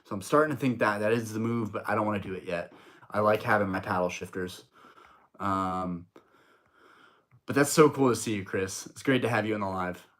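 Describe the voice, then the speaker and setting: A man's voice: fairly low pitch, brisk, fairly bright tone. A young man hosting a casual live stream from home, chatty and rambling with lots of fillers and restarts. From United States. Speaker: streamer, host of a card-collecting channel. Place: home room.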